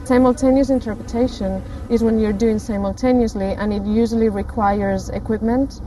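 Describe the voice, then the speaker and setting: A woman's voice: a little high, fairly even intonation, normal speed, warm tone, a little hiss or hum, poor audio. A woman from Spain, an interpreter explaining her work in an emphatic documentary interview. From Basque Country, Spain. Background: background music.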